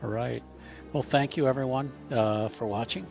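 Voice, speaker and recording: A man hosting a casual group show, chatting and signing off in an informal, halting way. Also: mid-range voice, vocal fry. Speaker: game master and host. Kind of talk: casual show sign-off and chat. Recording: slightly dull lo-fi audio, music behind. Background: music.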